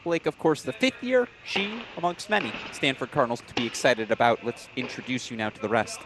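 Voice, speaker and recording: A man doing play-by-play commentary for a college tennis broadcast, brisk and informative, with brief apologetic asides. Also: mid-range voice, fairly bright tone, a little hiss or hum, poor audio.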